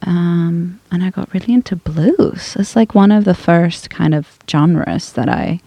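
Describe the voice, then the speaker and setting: A woman's voice: on the low side, expressive, measured pace, creaky, dark tone, no room sound. A woman from Sweden chatting informally in a studio, hesitant and thinking aloud as she goes. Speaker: guest singer. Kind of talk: casual studio chat.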